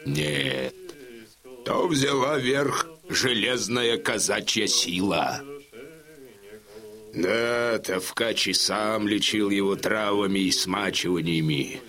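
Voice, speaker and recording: Slow, deep, forceful Cossack warrior. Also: male voice, exaggerated voice. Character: staunch, proud Cossack warrior talking of his chieftain. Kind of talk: theatrical radio drama dialogue.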